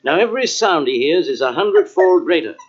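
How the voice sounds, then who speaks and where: An actor playing a calm, ominous scientist professor in an old-time radio drama, speaking in a measured, formal way. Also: male voice, on the high side, slightly rough. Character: calm, ominous male professor, inventor of a serum. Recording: muffled old radio cassette transfer.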